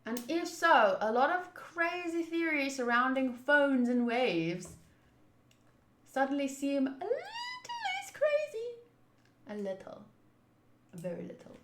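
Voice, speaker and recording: A woman streaming a visual novel, reading text aloud and thinking out loud in an animated, rambling way. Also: high voice, takes it slowly. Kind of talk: reading game text aloud with casual commentary. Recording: roomy echo, faint background noise.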